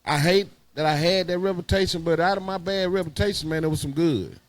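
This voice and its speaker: Higher-pitched man telling stories. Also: a little fast, no echo. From Houston, Texas. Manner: emphatic, slangy storytelling. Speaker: guest, high school alumnus. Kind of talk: casual reminiscing chat.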